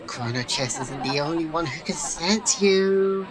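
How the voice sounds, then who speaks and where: A woman's voice: low voice, a little slow, a crowd in the background. A woman playing a cryptic otherworldly being giving urgent warnings in portentous, clipped phrases, in a fantasy audio drama.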